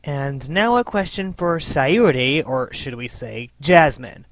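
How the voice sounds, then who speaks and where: A woman's voice: a bass voice, animated, slow, a little hiss or hum. A woman hosting a casual scripted Q&A in an informal, playful, sweary manner. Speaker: host and voice actor of a fan abridged series.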